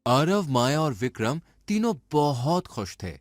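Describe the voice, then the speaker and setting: A man's voice: on the high side, big pitch swings, unhurried, no echo, clean studio sound. A man narrating a scripted dramatic story in a suspenseful storytelling manner. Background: background music.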